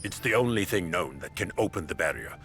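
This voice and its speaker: A man's voice: deep voice, animated, brisk, fairly bright tone, a little hiss or hum. An actor playing a knowing, grave inventor craftsman in a fantasy video game cutscene. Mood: pondering.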